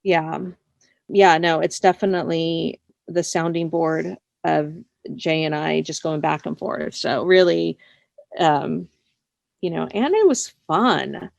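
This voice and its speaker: A woman's voice: deep voice, slow pace, vocal fry, a little mellow, no room sound, lo-fi sound. A woman being interviewed as a writer, chatting casually and informally, with plenty of "like" and "you know". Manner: informal and rambling, often says "like" and "you know". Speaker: interviewed scriptwriter.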